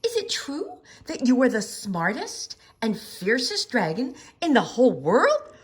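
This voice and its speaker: A woman voicing a bold, clever young princess in a read-aloud story, with a high, shrill, shouting manner. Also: wildly swooping pitch, a little slow. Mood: excited.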